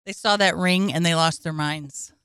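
A woman's voice: fairly low pitch, moderate pace, a bit bright, smooth, dry recording, clean studio sound. A woman comic chatting as a guest, giggly and playful. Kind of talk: casual chat.

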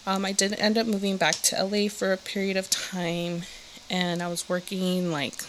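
A woman's voice: medium pitch, level tone, normal speed, background noise. A young woman from Southern California, co-hosting a podcast and chatting casually, laughing often and saying "like" a lot. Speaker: podcast co-host. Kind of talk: casual chat.

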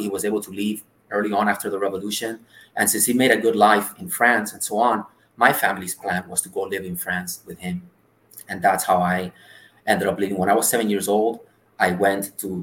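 A man's voice: fairly low pitch, flat delivery, brisk, fairly bright tone. A man in his forties, born in Cuba, telling earnest stories as an interview guest. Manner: earnest, anecdotal storyteller. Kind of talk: casual interview storytelling. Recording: roomy echo.

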